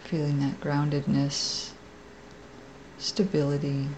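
A woman meditation teacher leading a guided meditation in a gentle, soft, creaky, unhurried voice with long pauses. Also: low-pitched, completely flat delivery, a little hiss or hum, low-quality recording.

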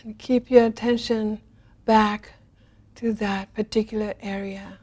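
A woman leading a guided meditation in a gentle, unhurried manner, leaving long pauses between phrases. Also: mid-range voice, little pitch movement, husky. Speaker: meditation teacher.

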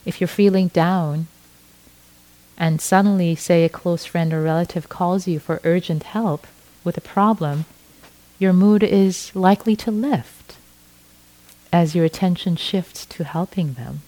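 A middle-aged woman from Iran, a native Farsi speaker, giving a gentle dharma talk as a meditation teacher, often restarting her phrases. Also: fairly low pitch, poor audio. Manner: slow, gentle, with frequent restarts.

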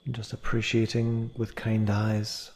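A man with a very mellow, slightly low voice guides a meditation. He speaks gently and takes his time, leaving long pauses between short instructional phrases. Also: completely flat delivery. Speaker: meditation teacher.

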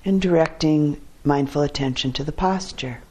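A woman teaching guided meditation in a calm, measured way, with short phrases and long pauses between them. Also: low-pitched, low-quality recording.

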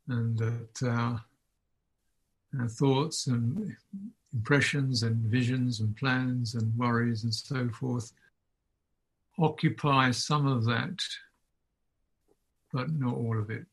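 A man guides a meditation in a mid-pitched, slightly husky voice. He speaks very slowly and contemplatively, setting out short phrases with long pauses between them, as a teacher finding the words in the moment. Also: low-quality recording. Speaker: Buddhist monk, meditation teacher. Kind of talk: unscripted guided meditation talk.

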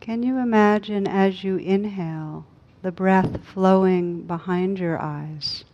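A woman leading a guided meditation as a teacher, speaking calmly and unhurriedly with long pauses. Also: medium pitch. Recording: dull, lo-fi recording.

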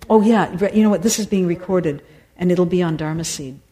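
Mellow-voiced woman teaching meditation. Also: on the low side, fairly quick. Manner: thoughtful, searching, many fillers and restarts. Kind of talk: answering audience questions after a dharma talk.

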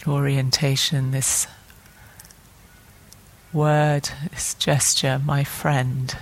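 Slow, low-key woman guiding meditation. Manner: calm, gentle, short phrases with long pauses. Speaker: meditation teacher. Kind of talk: guided meditation.

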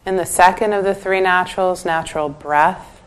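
A woman leading a guided meditation as a teacher, giving calm, gentle instructions with pauses. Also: fairly low pitch, clean tone.

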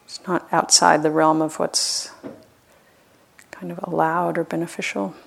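Low, creaky-voiced woman guiding meditation. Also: level tone. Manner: gentle, unhurried, with long pauses. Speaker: meditation teacher. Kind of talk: guided loving-kindness meditation.

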